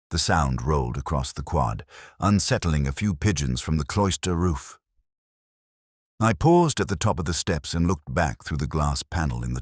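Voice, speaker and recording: A man reading a first-person literary detective story in a measured, reflective, formal way. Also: rumbling low, no echo, studio quality. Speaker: narrator of a detective mystery story.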